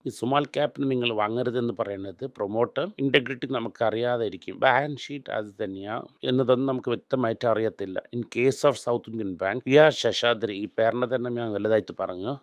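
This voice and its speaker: A man's voice: mid-range voice, no echo, muffled recording. Blunt male financial advisor, close dry sound. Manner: blunt, instructive, uses rhetorical questions; mixes in English phrases. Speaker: financial expert answering audience questions. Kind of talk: unscripted investment advice.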